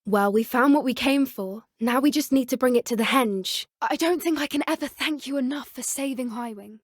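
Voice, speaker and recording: A woman's voice: sits high, moderate pace, dry recording, studio quality. A woman with an English accent playing an earnest, plucky teenage schoolgirl witch who loves creatures, speaking politely in video game dialogue. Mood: earnest, thoughtful. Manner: polite, earnest, softly eager. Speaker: video game character voice actor.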